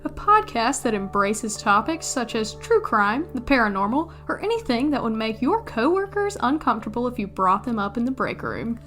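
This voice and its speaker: A woman's voice: a little high, sing-song, moderate pace, hardly any pauses, fairly bright tone. A woman co-hosting a comedy podcast, delivering a scripted promo.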